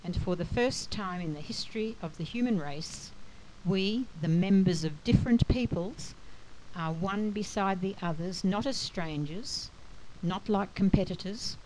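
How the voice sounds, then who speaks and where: A woman giving a scripted educational talk in a measured, explanatory manner. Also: mid-range voice, a little mellow, a little hiss or hum, low-quality recording. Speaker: lecturer.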